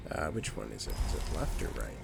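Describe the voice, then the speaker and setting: A man with a nasal voice hosting a gaming channel, chatting casually and rambling with lots of fillers while he plays. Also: quick pace. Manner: rambling, with many fillers and restarts. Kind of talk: casual let's play commentary. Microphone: new microphone. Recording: game music behind the voice. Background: game music.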